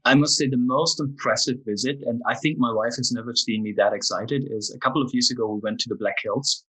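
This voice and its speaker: A man's voice: mid-range voice, fast talker, a bit bright, husky, rough recording. A man in his forties from East Germany with a German accent, telling personal stories candidly and earnestly as a podcast guest. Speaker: podcast guest, music industry executive. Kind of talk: conversational personal storytelling.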